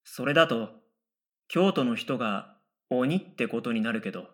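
A man playing an earnest, deadpan middle school boy in a radio drama, matter-of-fact and given to dry retorts. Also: mid-range voice, moderate pace. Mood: dry retorting. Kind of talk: radio drama first-person narration and dialogue.

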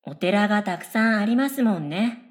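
A woman's voice: medium pitch, animated, normal speed, close to an everyday voice. A woman playing an airy, chatty middle school girl in a radio drama, speaking politely and with blithe confidence. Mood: blithely chatty. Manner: polite speech, blithely confident non sequiturs.

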